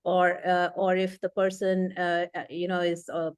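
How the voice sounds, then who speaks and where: A woman's voice: on the low side, completely flat delivery, moderate pace, clean tone, no room sound. A woman leadership coach explaining concepts thoughtfully in conversation, with frequent fillers and restarts.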